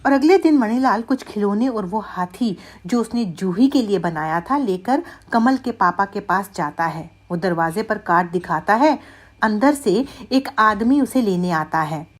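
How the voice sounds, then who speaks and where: A woman's voice: mid-range voice, animated, measured pace. A woman narrating a scripted moral story for children as its storyteller.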